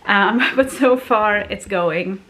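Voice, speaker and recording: A woman's voice: mid-range voice, slow pace, bright, forceful tone, a little hiss or hum, poor audio. A woman in her thirties from Sweden, chatting casually to a handheld camera at home and laughing often between her words. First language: Swedish. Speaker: family vlogger. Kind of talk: casual vlog chat. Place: family home, indoors. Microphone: handheld camera at arm's length.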